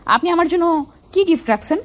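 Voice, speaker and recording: A woman's voice: very high-pitched, lively intonation, brisk. A woman playing a playful, demanding young wife in a romantic audio story, coy and insistent.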